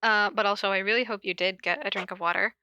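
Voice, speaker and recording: Bright-voiced woman reading casually. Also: medium pitch, fairly even intonation, quick pace, no echo. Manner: informal, giggly, self-interrupting. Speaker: podcast host reading fan fiction aloud. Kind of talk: casual cold reading of stories and chat with co-host.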